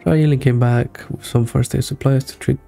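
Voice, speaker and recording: A man in his thirties gives an offhand game reaction, reading along as he plays. His voice is very dark and relaxed with heavy fry, and soft game music sits behind him. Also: medium pitch, a little fast. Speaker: streamer. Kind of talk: casual game reaction, reading on-screen text aloud. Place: small indoor room.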